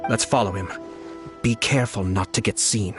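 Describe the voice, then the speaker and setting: An actor playing a composed, scheming young nobleman in a video game, measured and quietly confident. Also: lowish voice, natural voice. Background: game music.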